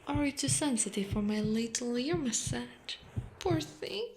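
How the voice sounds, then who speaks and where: Woman as a slow, whispering spider seductress. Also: on the high side, lively intonation, a little mellow, slight background noise. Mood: mocking, tender. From Italy. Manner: breathy whispering, slow and deliberate. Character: giant possessive seductive female spider monster. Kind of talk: whispered ASMR roleplay.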